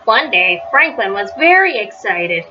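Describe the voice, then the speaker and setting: A woman's voice: mid-range voice, big pitch swings, a little slow, bright, forceful tone. A woman reading a children's story aloud as a storyteller. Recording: slightly dull, faintly noisy recording.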